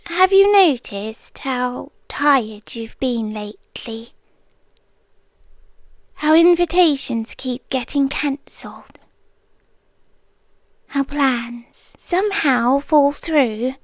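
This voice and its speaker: A British woman voicing a possessive female guardian angel in an ASMR roleplay, half-whispering softly and coaxingly into a close microphone. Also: sits high, no echo, full character voice. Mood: wounded, possessive. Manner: soft, intimate half-whispering; calm, coaxing and slow.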